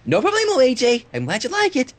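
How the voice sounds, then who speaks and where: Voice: high-pitched, huge pitch swings, fast talker, pitched above the performer's own voice. A reader voicing a hyper, cheerful young female cartoon party pony with a bubbly, playful, exclaiming delivery. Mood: bubbly, pleased.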